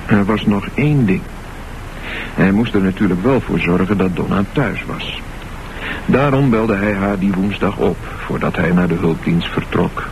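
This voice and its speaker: Low-voiced male radio play narrator, noisy recording. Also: normal speed. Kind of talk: scripted dramatic narration.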